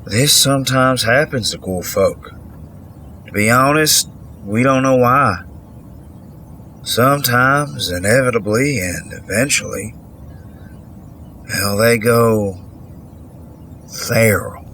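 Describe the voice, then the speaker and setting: An actor playing a kindly older country doctor with a folksy manner in an audio drama. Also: male voice, medium pitch, slow, pauses often, bright, forceful tone, a little hiss or hum. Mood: grave. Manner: folksy, drawling endearments.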